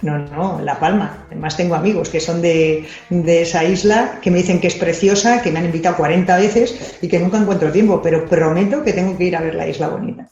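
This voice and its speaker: A woman's voice: lowish voice, brisk, few pauses, warm tone, soft background music. A woman from Madrid, a doctor and science communicator, answering quiz questions in a chatty, candid way. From Madrid, Spain. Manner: chatty, candid, self-deprecating. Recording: roomy, dull lo-fi recording.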